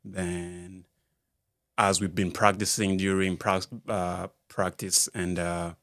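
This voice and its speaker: Deep, flat-voiced man from Rwanda. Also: dry recording, studio quality. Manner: halting, searches for words, many fillers. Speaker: basketball skills coach. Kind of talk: casual podcast interview. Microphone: studio mic.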